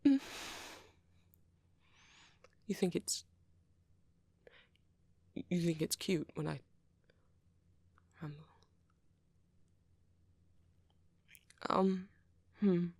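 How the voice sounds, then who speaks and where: A woman voicing a shy, awkward young vampire boyfriend in an ASMR roleplay, hesitant and rambling, with a soft, breathy delivery. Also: lowish voice, no echo, full character voice. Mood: flustered, affectionate. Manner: hesitant, halting, rambling, nervous.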